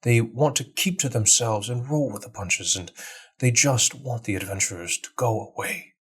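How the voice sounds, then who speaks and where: A man voicing an insular, self-reliant villager in a tabletop game, reserved and pulled-in, with a flat American accent. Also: medium pitch, normal speed, breathy edge. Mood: guarded.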